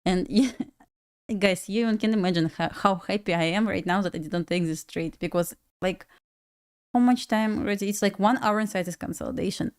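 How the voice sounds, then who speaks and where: A young woman from Belarus speaks English with a Russian accent, her voice sitting at an ordinary middle pitch. She talks casually and a little scattered, musing to herself and chuckling as she watches her trades. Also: fairly quick, darkish tone, clear, resonant tone. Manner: chatty, laughs often, talks to herself. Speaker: day trader streaming live. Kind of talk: casual live-stream chat.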